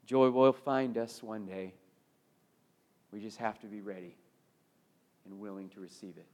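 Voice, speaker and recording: A middle-aged American man preaching a sermon, emphatic and given to repeated phrases, moving between loud proclamation and hushed delivery. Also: on the low side, measured pace.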